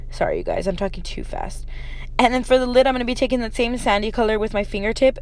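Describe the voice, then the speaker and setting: A young woman with a slightly raspy voice, chatting informally to viewers through a casual makeup tutorial. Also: mid-range voice. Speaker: beauty vlogger. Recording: noticeable background noise.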